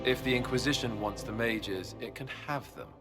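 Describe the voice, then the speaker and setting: Guy voicing an angry-proud king type in a game, commanding, medium pitch, big echo and music. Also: moderate pace, natural voice. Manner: commanding, severe. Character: indignant, imperious male ruler. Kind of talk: video game cutscene acting. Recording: reverberant hall with music behind.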